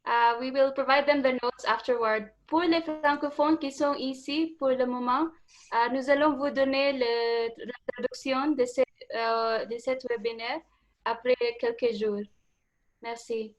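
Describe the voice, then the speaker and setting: A woman with a high voice gives informal meeting announcements as a co-organizer. The sound is slightly dull and lo-fi, as on an online call. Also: fairly even intonation, moderate pace. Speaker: webinar co-organizer.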